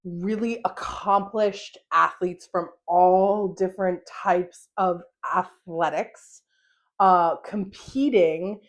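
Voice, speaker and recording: A young American woman talks through her solo podcast in a loose, chatty way. Her delivery is animated and full of fillers. She laughs easily as she goes. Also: fairly low pitch. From United States. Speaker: podcast host. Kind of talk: solo casual podcast monologue.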